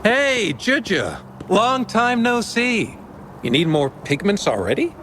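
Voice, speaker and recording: An actor voicing a friendly, easygoing merchant in a video game, teasing and lively. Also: male voice, sits high, takes it slowly, slight background noise, exaggerated voice. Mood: cheerful.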